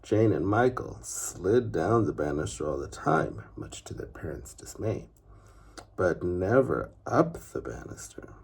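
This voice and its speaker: A man narrating a children's story expressively, with deliberate phrasing and dramatic pauses. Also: deep voice, big pitch swings, vocal fry, slightly rough.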